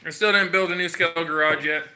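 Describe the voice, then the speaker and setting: A congested man casually chatting on a livestream as host, showing his workshop and rambling in a relaxed way with slang. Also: a little high, quick pace, clear, resonant tone. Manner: rambling, laid-back, with fillers and slang. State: congested and coughing. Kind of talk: casual livestream chat while showing a build. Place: home workshop or garage.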